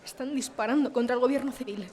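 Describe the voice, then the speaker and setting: A woman's voice: a little high, fast, darkish tone, natural voice. A woman playing a devout, anxious but strong-willed village mother in a radio drama. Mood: alarmed. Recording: music behind the voice.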